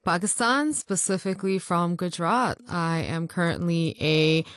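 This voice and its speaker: Lower-voiced woman guest, dry recording. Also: moderate pace, no room sound. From Hong Kong. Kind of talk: casual interview chat.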